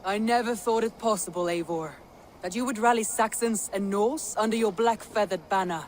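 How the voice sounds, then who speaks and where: An actor playing a cautious, earnest warrior counsellor in a dramatic video game scene. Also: male voice, high-pitched, moderate pace, a little hiss or hum, close to an everyday voice. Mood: measured, earnest.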